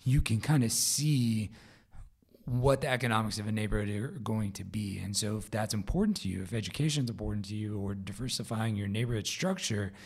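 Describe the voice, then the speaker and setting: A man with a raspy, hoarse voice hosting a solo podcast episode, talking casually with fillers and restarts. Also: fairly low pitch, brisk, a little mellow. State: hoarse from a vocal cord growth. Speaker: podcast host.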